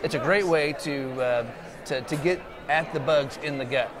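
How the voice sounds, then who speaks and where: A man's voice: fairly high pitch, normal speed. A middle-aged man talking casually as a guest at a trade show booth, loose and full of fillers and restarts. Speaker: exhibitor guest. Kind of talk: casual interview. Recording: crowd noise behind. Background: crowd chatter.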